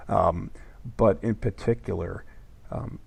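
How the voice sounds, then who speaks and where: A man hosting an interview podcast, with a gravelly, husky voice, asking reflective questions. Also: low voice, fairly even intonation, slow, darkish tone. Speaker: podcast host.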